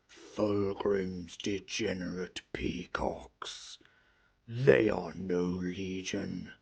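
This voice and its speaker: A man playing a grim, bitter undead plague marine veteran in an audio drama, brooding and contemptuous in a rasping, menacing theatrical whisper. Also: low voice, full character voice. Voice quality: gravelly, breathy rasping whisper. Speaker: voice actor. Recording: music under the voice. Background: music.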